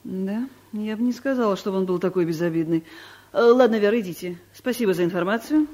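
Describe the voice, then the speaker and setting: A woman's voice: medium pitch, quick pace, a little mellow, natural voice. Actress as a stern, imperious woman director. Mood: brisk, curious. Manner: formal, categorical, clipped short questions. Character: stern, imperious female director, wounded by criticism. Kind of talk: radio comedy play acting.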